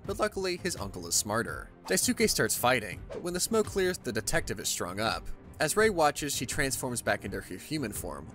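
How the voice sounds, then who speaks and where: A man reads a story recap with an animated, up-and-down delivery. His pitch sits in a middle range, and he sounds casual and wry, like a scripted narrator adding asides. Light music plays underneath.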